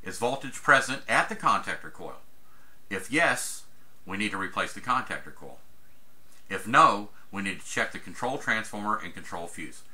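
A man's voice: on the low side, sing-song, moderate pace, pushed and bright. A man, teaching an HVAC troubleshooting chart in a folksy, chatty way over a screen recording. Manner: folksy, chatty, says "guys" often. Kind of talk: casual screen-share tutorial.

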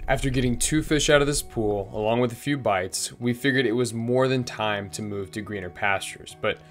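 A man's voice: medium pitch, moderate pace, soft background music. A young man vlogging while fly fishing outdoors by a river, chatting casually and narrating over the footage. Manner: excitable, shouts during catches.

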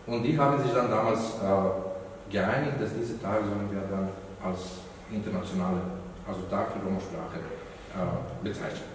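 A man's voice: fairly low pitch, fairly even intonation, normal speed, a little hiss or hum. Hesitant Romani-native man in an echoey hall. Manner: hesitant, many fillers, searching for German words. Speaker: event moderator. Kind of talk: opening remarks at a lecture event. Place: event hall, indoors. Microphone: far room microphone. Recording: echoey hall, distant mic.

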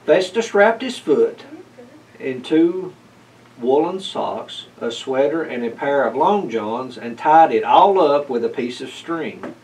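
A man in his thirties reading a children's storybook aloud in a measured, expressive way. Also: medium pitch. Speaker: story reader. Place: indoors, library corner with bookshelves. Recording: picked up from a distance.

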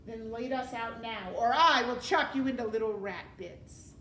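A woman voicing a simple, stubborn young serving girl who blurts and wails in rough, uneducated speech for a storybook. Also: high voice, big pitch swings, moderate pace, fairly bright tone, roomy sound, slight background noise, pitched above the performer's own voice. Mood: defiant. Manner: uneducated folksy grammar, blurting.

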